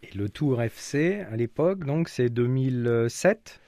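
A man hosts a radio football show from a studio, leading the interview with frequent pauses and fillers. His pitch swings widely as he speaks. The sound is dry and close to the mic. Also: animated, mellow, no room sound.

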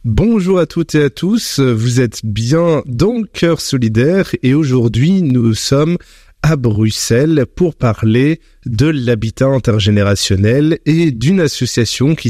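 A man's voice: medium pitch, animated, takes it slowly, mellow, no room sound. Radio interviewer man, dry close sound.

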